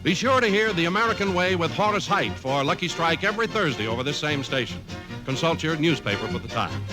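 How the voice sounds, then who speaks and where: A man's voice: on the high side, very animated, brisk, over music, poor audio. A man reading 1950s radio advertising and credits as an announcer, with emphatic salesman delivery.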